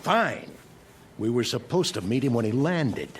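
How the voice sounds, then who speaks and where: An actor playing a stern, easily exasperated general in a radio drama, speaking formally. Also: male voice, mid-range voice, sing-song, unhurried, slight background noise. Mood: formal, eager.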